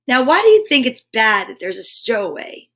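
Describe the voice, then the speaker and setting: A high-pitched woman hosts a children's story time. She is effusive and encouraging, moving between chatty asides and reading aloud, with a playful way of addressing her young listeners. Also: sing-song, normal speed, pushed and bright. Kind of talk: live children's show chat and read-aloud.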